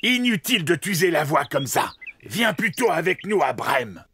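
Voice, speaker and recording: A man playing a genial old donkey in a children's cartoon dub, with a hearty, drawn-out, sing-song delivery. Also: a little high, very animated, vocal fry, sharp tone, a little hiss or hum, heavily acted. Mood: puzzled, encouraging. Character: genial old donkey, proud singer leading his friends.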